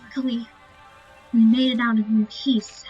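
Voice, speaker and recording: A woman's voice: a little high, flat delivery, moderate pace, darkish tone, breathy edge, faint music behind. A woman giving casual commentary as she plays through a video game. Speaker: gamer presenting a playthrough. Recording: dull lo-fi mic.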